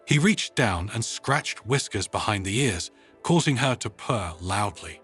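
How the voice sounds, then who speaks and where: Expressive male story narrator, slightly husky. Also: fairly low pitch, measured pace, soft background music. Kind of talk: scripted audiobook-style narration.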